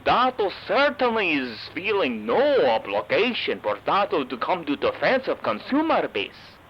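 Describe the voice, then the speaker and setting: A cheerfully shifty little shopkeeper for a comic audio drama, with a high voice that swings widely in pitch. He speaks slow, broken English and refers to himself in the third person. The sound is muffled and slightly noisy. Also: huge pitch swings. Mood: sly, polite. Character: Dado, cheerful evasive shopkeeper of mysterious donut business. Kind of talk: comedic audio drama character acting.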